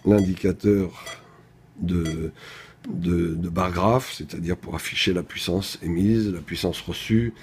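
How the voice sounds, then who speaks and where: A man's voice: deep voice, steady tone, slow, darkish tone, slight background noise. A man presenting a product in an unscripted technical demonstration, explaining things methodically, step by step.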